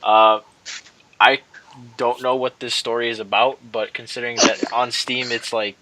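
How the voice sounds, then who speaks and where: A man's voice: mid-range voice, level tone, moderate pace, pushed and bright, rough recording. A man hosting a gaming video, chatting casually and reading story text aloud, often stumbling and repeating words.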